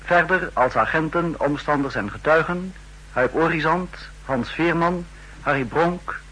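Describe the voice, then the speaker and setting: A man making a formal, measured announcement in the style of 1960s radio credits. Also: fairly high pitch, pushed and bright, slightly rough, poor audio.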